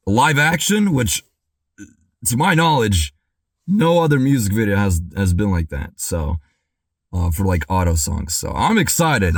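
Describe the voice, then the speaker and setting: A man doing excited reaction commentary, clearing his throat as he recovers from being sick and saying dude and bro a lot. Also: on the low side, no echo. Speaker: music reaction YouTuber.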